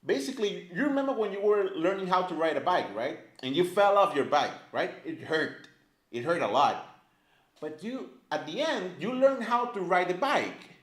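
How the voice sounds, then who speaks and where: A chatty male teacher explains things in a lively, bouncing voice that sits a little high. He keeps checking in with "right?" and leans on "like". The room around him is echoey. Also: normal speed. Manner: animated, chatty, many fillers like "right?" and "like". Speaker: language teacher. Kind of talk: casual explanatory lesson to camera. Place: indoor room, filmed by a camera operator.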